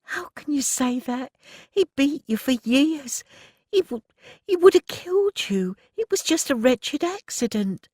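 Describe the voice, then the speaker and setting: A man's voice: extremely high pitch, animated, unhurried, a little mellow, dry recording, clean studio sound, pitched above the performer's own voice. A man voicing a tender-hearted young woman who speaks tearfully and pleadingly.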